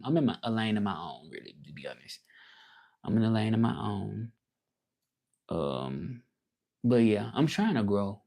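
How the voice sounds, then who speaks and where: A man's voice: on the low side, gravelly, warm tone. A man from Memphis with a Southern accent, talking casually and reflectively on a livestream, rambling with many fillers. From Memphis, Tennessee. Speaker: livestream host.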